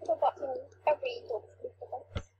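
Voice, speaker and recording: A woman's voice: high voice, fairly even intonation, a little fast, a loud crowd. A woman chatting casually, interjecting as a listener in someone else's story. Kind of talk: casual chat. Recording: dull lo-fi sound, faint noise.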